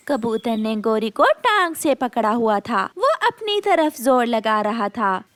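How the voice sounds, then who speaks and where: A woman's voice: fairly high pitch, no echo. A woman narrating a scripted children's cartoon story.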